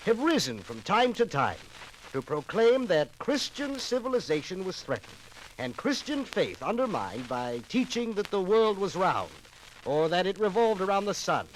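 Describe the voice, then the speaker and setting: An actor playing an impassioned male legislative orator in a 1950s radio drama, declaiming formal, emphatic rhetoric. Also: sits high. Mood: grave, reasoned. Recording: noisy 1950s radio record.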